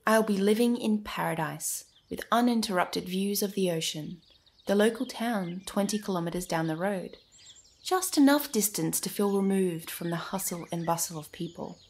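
A woman's voice: fairly low pitch, slight background noise. A woman narrating a scripted history podcast, speaking directly to the listener in a dramatic storytelling manner.

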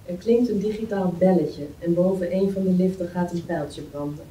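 A woman's voice: lowish voice, normal speed, dark tone. A woman reading screenplay stage directions aloud as scripted narration at a table read in a meeting room. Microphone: far camera. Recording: roomy, distant mic, faint noise.

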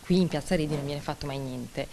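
Low-voiced shopkeeper woman, thoughtful. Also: dark tone, slight background noise. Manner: thoughtful, pauses with hums. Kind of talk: interview answer. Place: small newsagent shop. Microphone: handheld interview mic.

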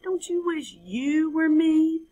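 A woman's voice: sits high, slow, mellow, muffled recording, voice pushed up. A woman voicing a boastful, bouncy young male frog in a children's storybook, bragging and exclaiming. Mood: boastful, excited.

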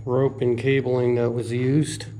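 A middle-aged man narrating a casual outdoor exploring vlog into a camera held at arm's length, relaxed and plainspoken with the odd filler. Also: medium pitch, a little slow, a little hiss or hum. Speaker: outdoor vlogger. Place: outdoors at a seaside park and inside concrete bunkers.

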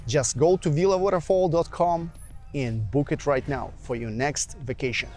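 Upbeat salesy man pitching a villa. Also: moderate pace, darkish tone, soft background music. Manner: salesy, upbeat, addresses viewers directly. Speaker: villa rental promoter and channel host. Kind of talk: promotional video narration.

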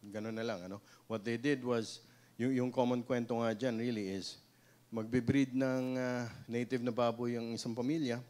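A man's voice: medium pitch, fairly even intonation, moderate pace, darkish tone. Filipino man lecturing on a handheld mic. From Philippines. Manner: conversational, frequent "no?" tag questions and restarts. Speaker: seminar speaker, pig breeder. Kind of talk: seminar talk mixing English and Tagalog. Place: small seminar room with audience. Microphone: handheld microphone.

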